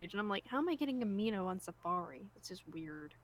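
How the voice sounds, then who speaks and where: A woman co-hosting a relaxed, informal group discussion. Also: mid-range voice, quick pace. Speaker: co-host and scriptwriter. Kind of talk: casual group chat.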